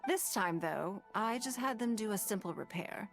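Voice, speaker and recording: An actor, a woman, playing a poised, gracious and shrewd noblewoman in a video game, composed and measured. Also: mid-range voice, lively intonation, gravelly, faint music behind. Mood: composed, businesslike.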